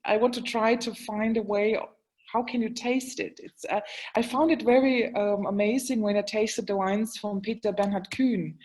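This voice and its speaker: A woman's voice: medium pitch, steady tone, moderate pace, a little mellow, poor audio. Woman talking english in an interview, lots of ums, hunting for words. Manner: hesitant, many fillers, searching for words. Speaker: guest, wine expert. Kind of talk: casual interview talk.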